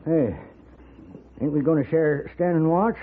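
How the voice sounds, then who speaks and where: An actor playing a cantankerous, wounded old outlaw with an American accent, grumbling and stammering in a folksy way in a 1950s radio Western. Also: male voice, a little high, a little slow, natural voice. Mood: suspicious, grumbling. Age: older. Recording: noisy, phone-like 1950s radio recording.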